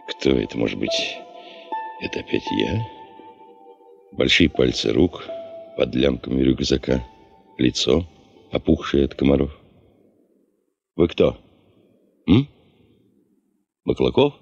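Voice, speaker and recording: An actor playing a brooding, weary but driven veteran gold geologist in a radio play, gravelly and slow, pausing as he reflects. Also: a bass voice, lively intonation, faint music behind, heavily acted. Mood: pensive. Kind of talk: 1970s Soviet radio play acting. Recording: dull 1975 radio play recording.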